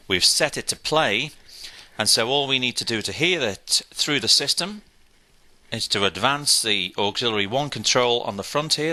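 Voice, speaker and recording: A man's voice: on the low side, bright, forceful tone. A man giving a methodical, step-by-step instructional equipment demonstration. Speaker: product demonstrator.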